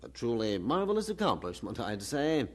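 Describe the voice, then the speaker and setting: Pompous professor with sweeping intonation. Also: male voice, medium pitch, very animated. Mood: assured, emphatic. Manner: didactic, pompous, measured argument. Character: learned, condescending male university professor arguing patiently. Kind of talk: radio drama acting.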